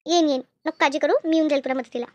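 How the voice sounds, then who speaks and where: A woman voicing a nosy, helpful village housewife in a cartoon comedy, brisk, repetitive and gently scolding. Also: sits high, steady tone, no echo, dull audio, lightly acted. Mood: busy, agreeable. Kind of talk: animated cartoon comedy dialogue.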